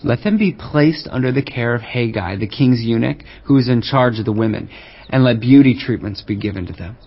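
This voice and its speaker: A formal, deferential attendant at an ancient court, making his case in measured words. The voice is medium in pitch, in a slightly dull audio Bible recording. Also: lively intonation. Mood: formal, proposing. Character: formal male attendant advising an ancient king. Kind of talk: dramatized audio Bible acting.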